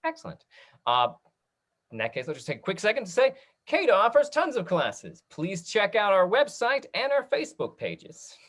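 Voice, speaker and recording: Talkback host, very animated pitch, medium register, upbeat and encouraging, casual, video call. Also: normal speed.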